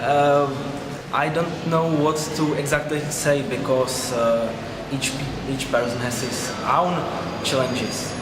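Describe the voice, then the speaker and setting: Male student, halting speech, recorded in an echoing room with heavy background noise. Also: medium pitch, fairly even intonation. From Czech Republic. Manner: hesitant, searching for words.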